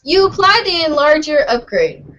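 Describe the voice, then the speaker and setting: A woman's voice: sits high, sing-song, moderate pace, a bit bright. A woman reading scripted narration for an amateur reader's theater, playful and mock-serious. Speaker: narrator, regular of the show. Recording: muffled lo-fi home recording.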